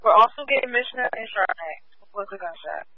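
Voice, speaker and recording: A woman working as a police dispatcher speaks in quick, clipped bursts and keeps repeating locations and warnings to units. Her voice sits at an ordinary middle pitch. The pace never lets up. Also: animated, no room sound, phone-quality sound.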